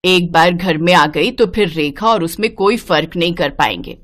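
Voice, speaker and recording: A woman's voice: fairly low pitch, lively intonation, normal speed, fairly bright tone, dry recording. A woman voicing a scolding, old-fashioned mother-in-law in a family cartoon, nagging and disapproving. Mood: grudging. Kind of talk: cartoon story voice acting.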